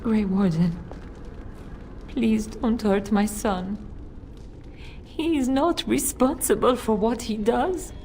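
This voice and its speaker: An actress voicing a frightened noblewoman in a video game, who pleads haltingly for her son. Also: on the high side, mellow, slight background noise. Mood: pleading. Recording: game audio with faint music.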